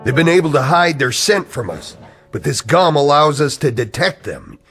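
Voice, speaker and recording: An actor playing a grave, embittered conspirator in an old-style radio drama, speaking with ominous, insistent drama. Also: male voice, fairly high pitch, sing-song, normal speed, a bit bright, faint music behind. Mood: earnest warning. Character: grave, embittered male conspirator hunting hidden creatures. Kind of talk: 1940s radio drama acting.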